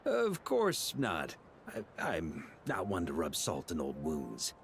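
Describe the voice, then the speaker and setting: A man's voice: very deep voice, huge pitch swings, takes it slowly, creaky, slight background noise, heavily acted. A man playing an easygoing, jovial knight grandmaster in a video game, with a breezy, joking, theatrical manner. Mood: thoughtful, wry. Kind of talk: scripted video game voice acting.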